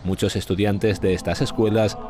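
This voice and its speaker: A man reading scripted news narration as a reporter, in a measured, even delivery. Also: on the low side, background noise. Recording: voice-over with clear music behind.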